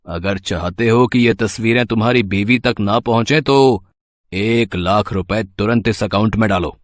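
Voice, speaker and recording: A man's voice: on the low side, moderate pace, no echo, clean studio sound, natural voice. A man playing a menacing, mocking blackmailer in an audio story, taunting and threatening. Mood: mocking menace.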